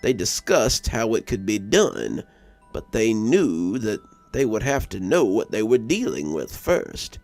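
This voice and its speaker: A man's voice: fairly low pitch, wildly swooping pitch, vocal fry, a little mellow, faint music behind, full character voice. A man voicing a solemn, stoic local who recounts his tribe's old legend in a horror story. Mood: grave, foreboding. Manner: grave, stoic, deliberate. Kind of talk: storybook dialogue in horror narration.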